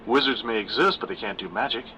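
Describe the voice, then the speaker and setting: A man reading a dark short story aloud in a measured, wry way. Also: on the low side, a little hiss or hum. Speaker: narrator. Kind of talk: scripted story narration.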